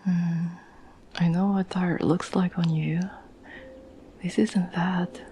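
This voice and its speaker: Woman acting a slow, whispering, caring girlfriend. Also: lowish voice, little pitch movement, full character voice. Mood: concerned, coaxing. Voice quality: soft, breathy whisper. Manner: gentle, slow, soothing, reassuring. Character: caring, patient girlfriend gently reassuring an anxious partner. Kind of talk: whispered ASMR roleplay.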